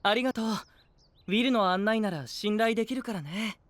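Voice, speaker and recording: An actor playing a gentle, blithely persuasive princely young knight, soft-spoken and leisurely, in an anime-style audio drama. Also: male voice, high-pitched, brisk, no echo. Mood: kindly persuasive.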